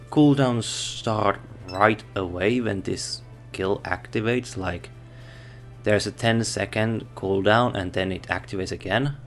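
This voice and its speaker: A man reviewing a game off the cuff, in no hurry at all. He pauses often, thinks aloud and corrects his own figures. Faint music plays underneath. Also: on the low side. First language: Finnish.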